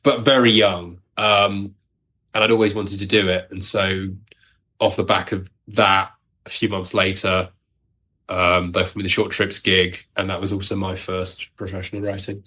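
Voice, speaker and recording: Low-voiced British man, wry and joking. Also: level tone, moderate pace, pushed and bright. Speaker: audio drama writer, interview guest. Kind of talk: casual interview chat.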